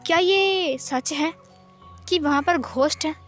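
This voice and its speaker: A woman's voice: high voice, slow pace, a bit bright, faint music behind. A woman voicing an anxious, curious young man in a dramatized story, questioning himself in repeated phrases. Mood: brooding, curious. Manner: stammering repetition, questioning himself aloud.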